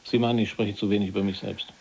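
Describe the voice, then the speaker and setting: An actor playing a calm, educated Polish resistance fighter in prison, speaking with measured, formal precision in a radio drama. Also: male voice, fairly low pitch, gravelly, slightly rough, rough recording. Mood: wry. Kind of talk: German radio drama dialogue.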